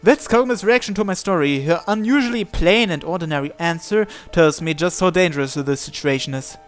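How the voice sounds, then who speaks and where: A man with a fairly high voice narrates a visual novel in the first person, with music faintly behind him. His delivery is theatrical and deliberate, breaking the story into short dramatic phrases. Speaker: gamer reading a visual novel aloud.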